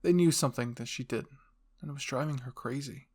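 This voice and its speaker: Guy narrating anime fanfic for his channel, mid pitched voice, reading from a script. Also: a little fast, darkish tone. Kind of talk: scripted fan fiction narration.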